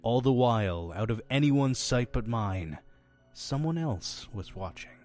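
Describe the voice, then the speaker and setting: A faint bed of music sits under a fussy male innkeeper, acted by a man, in a scripted horror audio drama. His voice sits a little above the deepest men, around the middle of the range. He speaks with dramatic, deliberate phrasing and a sardonic edge, like a host spinning grisly tales for guests. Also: expressive, a little mellow, natural voice. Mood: ominous, hushed. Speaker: storytelling host of a horror anthology.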